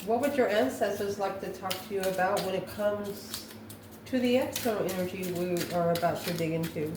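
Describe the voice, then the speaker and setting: A woman giving an intuitive tarot reading to viewers, musing aloud in short, halting phrases. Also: mid-range voice. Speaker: tarot reader, host. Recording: roomy echo, distant mic, background noise.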